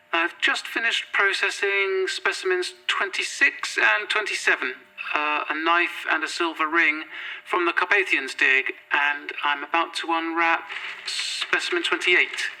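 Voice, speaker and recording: An actress playing a nervous, earnest young junior archivist in a horror audio drama, hesitant and prone to stammering. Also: female voice, on the low side, pushed and bright, low-quality recording. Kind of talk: found-footage horror audio drama acting.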